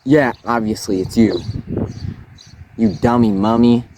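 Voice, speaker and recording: A man's voice: medium pitch, unhurried, natural voice. A young man playing a bossy, hot-tempered buddy who taunts his friend and puts on big fake wailing, in an amateur comedy skit recorded outdoors. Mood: mocking. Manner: mocking, over-the-top wailing. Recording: loud outdoor noise and wind on the mic.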